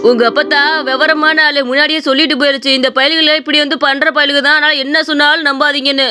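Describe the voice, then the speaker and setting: A woman voicing an excitable, easily scared village big brother in an animated comedy, complaining in rural Tamil Nadu speech. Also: sits high, fast talker, faint music behind. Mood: scolding. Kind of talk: animated comedy story voice acting.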